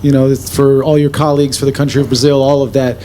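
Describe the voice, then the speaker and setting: A man's voice: medium pitch, little pitch movement, fast, warm tone, slight background noise. A male reporter asking a long, carefully worded question at a press conference.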